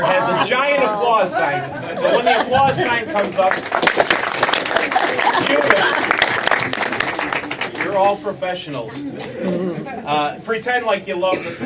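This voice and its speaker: A man hosting a live stage show, casually addressing the audience. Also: high-pitched. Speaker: host introducing a stage show. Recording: distant mic, noisy audience room.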